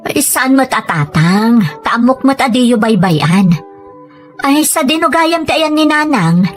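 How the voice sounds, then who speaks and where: A woman's voice: high-pitched, animated, unhurried. A woman playing a pressured, determined wife and mother in an Ilocano audio drama, speaking insistently with a Filipino accent. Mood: pleading. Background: music.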